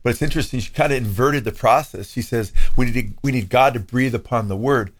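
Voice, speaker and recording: A man in a relaxed talk-show conversation who keeps pulling in verses and the occasional Greek term. His voice sits at an ordinary middle pitch, and he talks in a loose, conversational way. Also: quick pace. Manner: conversational, quotes scripture with Greek terms. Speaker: co-host, pastor. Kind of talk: casual discussion. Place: California.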